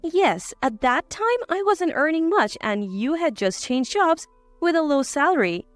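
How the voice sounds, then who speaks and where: A woman's voice: high-pitched, sing-song, unhurried, no echo, soft background music. A woman voicing a cool-headed, defiant wife in a dramatized story, assertive and cutting. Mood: cool, scornful.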